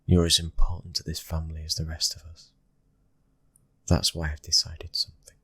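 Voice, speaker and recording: Guy acting as a devoted mafia husband, british, super low breathy voice, half whispering, soft asmr roleplay, very husky. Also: monotone, moderate pace, dry recording, exaggerated voice. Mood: proud, sincere. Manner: hushed, often whispering, gentle and intimate. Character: tender, devoted mafia man, husband and proud father.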